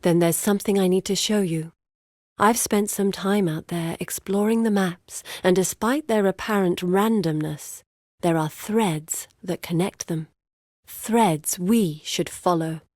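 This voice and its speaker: An actress voicing an earnest, guarded woman explorer in a video game, speaking in a measured, grave and deliberate way. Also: fairly low pitch, darkish tone, no room sound, clean studio sound. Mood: grave, purposeful. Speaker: video game character voice actor. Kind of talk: scripted game character dialogue.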